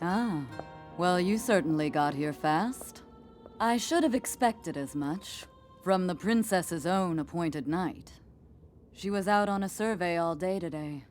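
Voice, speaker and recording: Woman playing a low-voiced, unhurried, wise warrior woman. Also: soft background music, close to an everyday voice. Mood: wry, teasing. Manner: measured, knowing, solemn. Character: poised, wise, protective warrior woman, gently teasing. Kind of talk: video game cutscene acting.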